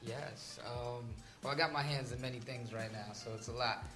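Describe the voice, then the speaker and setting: A man's voice: mid-range voice, fairly even intonation, normal speed, sharp tone, roomy sound, faint music behind. A man who grew up in Trinidad, chatting casually as a guest artist in an interview and filling his talk with "you know" and "um". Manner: laid-back, with many fillers.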